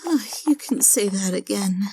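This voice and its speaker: A woman playing a gentle, caring royal pony mother with a polite, measured manner in a cartoon drama. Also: mid-range voice, animated, a little hiss or hum, close to an everyday voice. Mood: tired, grateful. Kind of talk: animated fan audio drama.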